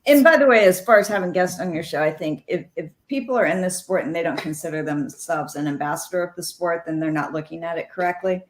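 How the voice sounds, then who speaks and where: A middle-aged woman, a former jockey, telling lively stories as an interview guest and acting out the dialogue. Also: on the low side, quick pace, hardly any pauses, echoey room. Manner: animated anecdotes with quoted dialogue. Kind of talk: storytelling interview.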